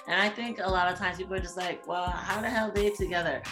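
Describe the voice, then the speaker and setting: A woman guest on a podcast, telling her story casually, with many fillers and a relaxed, chatty way of talking. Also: fairly low pitch, fast talker, music in the background. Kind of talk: casual interview chat.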